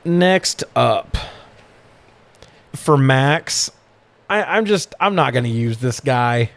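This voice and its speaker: A man's voice: mid-range voice, lively intonation, slow, fairly bright tone. Man in a sweary, casual chat. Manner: profane, slangy. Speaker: podcast host.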